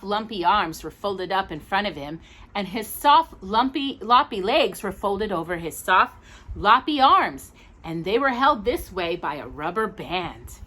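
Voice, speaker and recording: A woman reads a children's storybook aloud in a bright, very animated voice. She takes her time with an unhurried pace, adding expressive, cooing asides for young listeners as a storytime host. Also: medium pitch.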